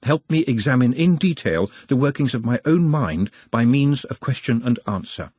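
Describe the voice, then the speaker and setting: A man's voice: mid-range voice, expressive, normal speed, a little mellow, breathy edge, no echo, voice pushed up. A man voicing a polite, patient young man who speaks formally and precisely.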